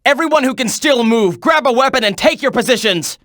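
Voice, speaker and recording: A video game soldier in the thick of battle. The delivery is clipped and urgent, with a sharp, forceful edge and animated swings in pitch. It sounds close and dry. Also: male voice, a little fast, no echo. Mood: urgent, commanding. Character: tense military soldier barking combat reports and orders.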